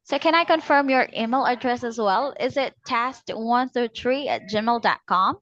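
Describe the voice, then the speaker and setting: A woman working as a telemarketing agent, politely delivering a scripted cold-call sales pitch and addressing the customer formally. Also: moderate pace, fairly bright tone, lo-fi sound.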